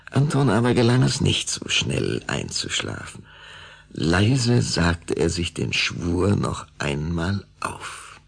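A man's voice: deep voice, gravelly. A man narrating a scripted children's audio drama as its storyteller.

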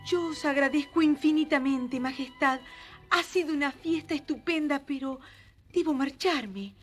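An actress playing a gentle, polite young Cinderella, courteous and theatrical in a children's storybook drama. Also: female voice, sits high, normal speed, natural voice. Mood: polite, anxious. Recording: vintage story record with music behind.

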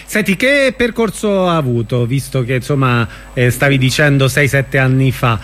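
A man's voice: medium pitch, animated, normal speed, fairly bright tone. A man hosting an informal radio interview, putting questions to his guest in a conversational way.

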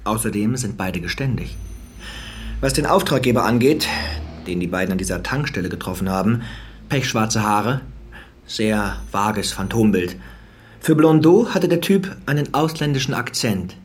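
An actor playing a businesslike homicide detective with a dry, matter-of-fact delivery in a crime radio drama. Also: male voice, on the low side, lively intonation, moderate pace, husky. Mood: factual, assured. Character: businesslike male homicide detective reporting findings. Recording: faint ambient noise.

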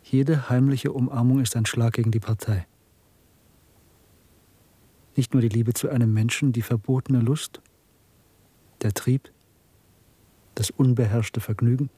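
Brooding male clerk and secret rebel, bitter, intense, deliberate, muted husky voice, radio drama. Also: mid-range voice, steady tone, dark tone, no room sound. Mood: fervent, bitter. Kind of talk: German radio drama acting.

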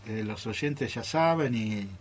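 A man's voice: fairly low pitch, slow. A man with an Argentine accent speaking casually in a group interview as an amateur radio theatre performer. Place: radio studio.

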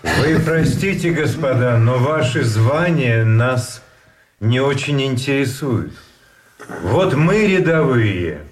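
An actor playing a weary, fatalistic prisoner in a radio drama, speaking in measured, polite, deliberate phrases. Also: male voice, mid-range voice, animated. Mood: grave, resigned. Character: weary, fatalistic imprisoned private explaining grim rules. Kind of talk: Russian radio drama acting. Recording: music under the voice.

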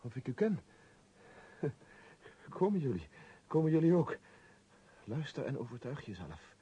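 A man's voice: mid-range voice, very animated, measured pace, warm tone, husky, muffled recording, exaggerated voice. An actor in a radio play voicing an earnest young man, urgent and intense, with a declamatory delivery. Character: earnest young man weighing his future selves, urgent. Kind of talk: radio drama acting.

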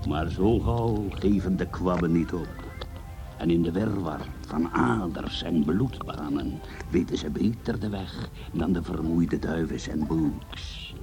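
A man's voice: sits low, slow, over music. A male actor as the solemn, dramatic storyteller of a Dutch mock-heroic radio play, delivering scripted narration. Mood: ominous. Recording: noisy radio play soundscape.

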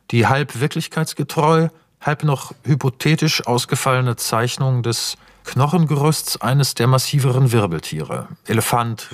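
A man's voice: mid-range voice, no room sound, clean studio sound. A man narrating scenes and stage directions for a radio play in a precise, matter-of-fact way.

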